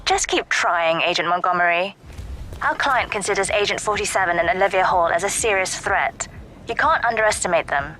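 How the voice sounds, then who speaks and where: A woman's voice: medium pitch, animated, brisk, slight background noise, poor audio. A woman playing a stern, commanding agency handler in a game, giving orders with urgent authority. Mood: cautioning. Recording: loud music behind.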